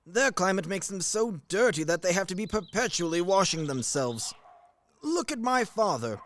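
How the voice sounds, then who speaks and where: An actor playing a blustering, old-fashioned middle-aged army major and husband in a radio play, blunt, pompous and grumbling. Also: male voice, sits high, big pitch swings, normal speed, a bit bright, slight background noise. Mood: grumbling, opinionated.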